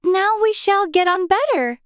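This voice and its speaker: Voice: extremely high pitch, lively intonation, measured pace, a bit bright, dry recording, studio quality, voice pushed up, full character voice. A narrator voicing a curious, prim little girl in a storybook reading.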